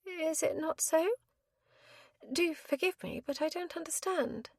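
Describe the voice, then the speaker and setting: A woman voicing a young, dazed widow who speaks softly in a genteel, apologetic way. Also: very high voice, a little slow, no echo, studio quality, voice pushed up.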